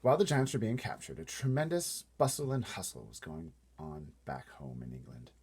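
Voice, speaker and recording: A man reading a storybook aloud in an animated way, playfully breaking off for casual asides to wonder aloud. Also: deep voice, moderate pace, breathy edge.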